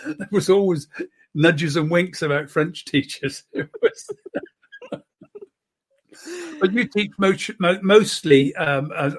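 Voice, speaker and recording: An older woman from Britain hosts a relaxed online interview. The voice sits fairly low for a woman, and the delivery is halting, with repeated words and small chuckles. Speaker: show host. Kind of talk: casual video interview.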